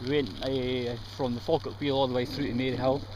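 A man's voice: mid-range voice, level tone, brisk. A middle-aged Scottish man vlogging casually as he cycles along canal paths and roadsides, chatting and pointing things out as he goes. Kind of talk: casual commentary while cycling. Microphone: action camera held or mounted on the bike. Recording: outdoor camera audio with traffic and wind.

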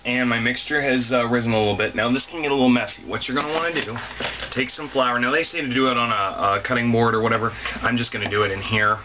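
A man's voice: mid-range voice, background noise. A man in his thirties giving a casual, self-mocking cooking demonstration in a small home kitchen, talking into a close camera mic. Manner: chatty, self-mocking, playful asides. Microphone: handheld camera mic, close.

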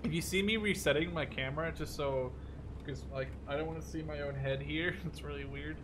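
A young man giving casual, excitable gameplay commentary, swearing freely as he reacts out loud to the game. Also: a little high, a little fast, background noise. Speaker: gaming video host. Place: small room at home, indoors.

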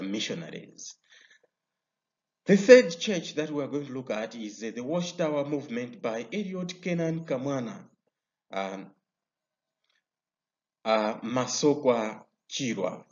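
Malawian man teaching a history lesson. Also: mid-range voice. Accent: Malawian English. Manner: explanatory, many "uh" fillers and restarts. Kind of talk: recorded video lesson over slides. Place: indoor room. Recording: slightly dull lo-fi sound.

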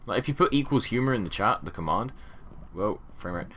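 A young man with a very deep, low voice and a sore throat, rambling casually over his gameplay. His pitch swings widely, rising when excited and dropping to mumbles. Keyboard clicks and steady noise sit behind his desk-mic recording. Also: a little fast. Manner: rambling, chatty, drops to mumbles. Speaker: gaming channel host.

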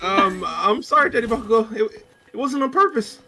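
A man's voice: sits high, animated, moderate pace, background noise. A man voicing a meek yet cheeky teenage anime hero in a joke fan dub, exaggerated, apologetic and teasing. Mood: apologetic, pleading. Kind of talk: improvised joke fan dub while gaming.

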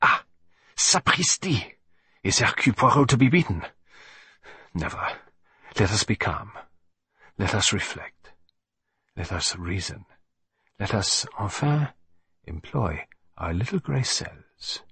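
A man's voice: on the low side, sing-song, slow, long pauses, bright, forceful tone, slightly rough, dry recording, heavily acted. A man voicing a fussy, vain middle-aged male detective who speaks in a precise, theatrical, self-assured way. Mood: excited.

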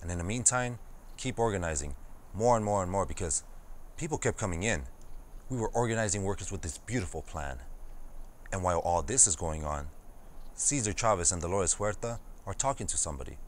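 Low-voiced plain-spoken farmworker organizer. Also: male voice, lively intonation, a little fast, slight background noise. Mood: recounting, matter-of-fact. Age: 20s. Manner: conversational storytelling with frequent "you know". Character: farmworker turned union organizer, streetwise and plain-spoken, recounting old struggles. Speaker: actor. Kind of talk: dramatized oral-history monologue.

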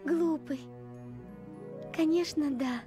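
A woman's voice: high-pitched, slow pace, over music. A woman playing a sweet, honest young princess turned goose in a cartoon, earnest and innocent. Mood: tender, worried.

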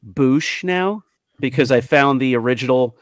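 A man's voice: mid-range voice, a little slow, dry recording. Man chatting casually, dry close sound. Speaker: panelist on a toy collecting show. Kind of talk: casual group chat. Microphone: large microphone up close.

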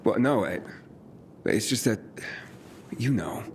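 An actor playing a guarded but kind male survivor in a video game, terse and understated, with a gravelly voice. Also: fairly low pitch, animated, slow pace, slight background noise, exaggerated voice. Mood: hesitant, soft. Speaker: game protagonist. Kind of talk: video game cutscene dialogue.

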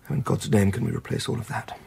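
Brooding English husband, gravelly voice. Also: male voice, fairly low pitch, expressive, fast talker, a little mellow, slight background noise. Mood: bitter, agitated. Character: troubled, irritable husband, bitter survivor of invasion. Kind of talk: radio drama acting.

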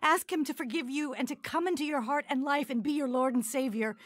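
A dry studio recording of a pious, insistent neighbor lady acted by a woman. Her fairly high voice preaches and recites scripture fervently, as in a radio drama. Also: brisk, dry recording, lightly acted. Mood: fervent exhorting. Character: pious, urgent neighbor woman pressing for repentance.

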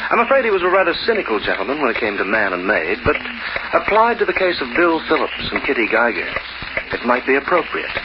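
An actor playing Time itself as a vain, whimsical narrator, theatrical and pompous, in old-time radio style. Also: male voice, medium pitch, big pitch swings, fast talker, lightly acted. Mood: smug, wry. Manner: theatrical, self-satisfied, pompous. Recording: very noisy, muffled old radio recording.

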